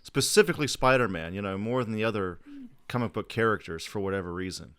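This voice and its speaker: A man's voice: lowish voice, fast talker, a bit bright, clear, resonant tone. A man in his thirties hosting a podcast, chatting in an informal, sweary way. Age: 30s. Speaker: podcast host. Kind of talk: casual chat.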